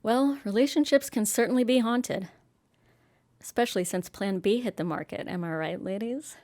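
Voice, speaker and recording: A woman's voice: on the high side, moderate pace, darkish tone. A woman hosting an audio story series with scripted, wry, tongue-in-cheek introductions. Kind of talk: scripted host links.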